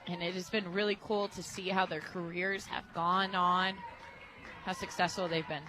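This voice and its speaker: A woman with an American accent giving conversational, enthusiastic color commentary on a softball radio broadcast. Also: on the low side, flat delivery, moderate pace, poor audio. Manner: chatty, enthusiastic. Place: radio booth at a ballpark.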